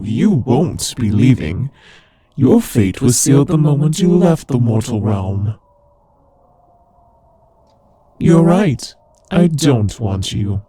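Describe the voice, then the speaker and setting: A man voicing a haughty, teasing demon lord in an ASMR roleplay, imperious and condescending, with a husky voice. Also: medium pitch, mellow. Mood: imperious, menacing. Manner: imperious, condescending, playfully taunting. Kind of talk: ASMR roleplay audio drama addressed to the listener.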